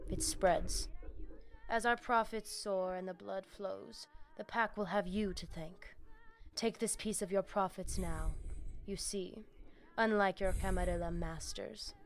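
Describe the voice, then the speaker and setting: A woman's voice: mid-range voice, measured pace, natural voice. An actress playing a sly, seductive female vampire pack leader in a video game, with a Latin American Spanish accent and a taunting, theatrical manner. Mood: sly, pleased.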